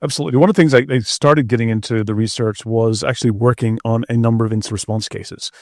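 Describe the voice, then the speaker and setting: Middle aged guy as expert guest on an interview, average pitch, very dry audio, explaining stuff conversationally. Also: fairly even intonation, quick pace, dry recording. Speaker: expert guest, security researcher.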